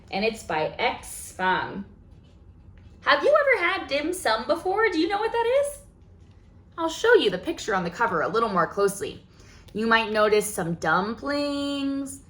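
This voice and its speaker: Animated, high-voiced woman reading to kids. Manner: expressive, asks young listeners questions, exclaims in wonder. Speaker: children's library storytime host. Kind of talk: animated picture-book read-aloud with asides to young children.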